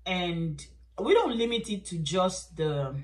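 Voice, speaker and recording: A young woman with a Nigerian accent chats to her camera as a beauty vlogger. Her voice sits a little low, and she laughs often as she talks. Her first language is Yoruba. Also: takes it slowly. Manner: chatty, laughs often, lively swings in pitch. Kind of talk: casual chat to camera.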